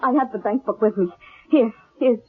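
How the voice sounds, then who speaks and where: An actress playing a gullible, anxious young wife, hesitant and pleading, in an old-time radio drama. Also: female voice, a little high, brisk, slightly rough. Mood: anxious, trusting. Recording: thin, narrow-band old radio recording.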